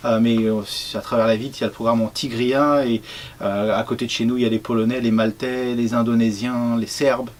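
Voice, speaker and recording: A man talks casually, presenting his radio team and the station's past. He pauses often to search for words. His voice sits in a middle register. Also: mid-range voice. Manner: relaxed, hesitant with many fillers. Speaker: radio program head.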